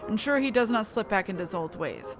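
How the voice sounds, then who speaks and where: A woman voicing a steadfast, formal noble mentor in a fantasy game, speaking in a measured, exhorting way. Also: mid-range voice, lively intonation, over music, lightly acted. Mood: encouraging.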